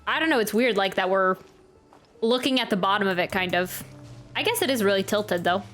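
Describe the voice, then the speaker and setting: A woman's voice: mid-range voice, expressive, brisk, fairly bright tone. Chatty woman streaming a video game. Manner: chatty, musing, playful. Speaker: gaming streamer. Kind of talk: casual game playthrough commentary, reading dialogue aloud. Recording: voice over game music. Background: game music.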